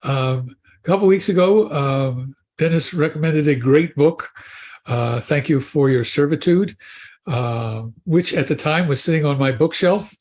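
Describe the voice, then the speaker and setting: A man's voice: a little high, unhurried, a little mellow. A man talking on a panel show in a casual discussion, often restarting his phrases. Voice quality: husky.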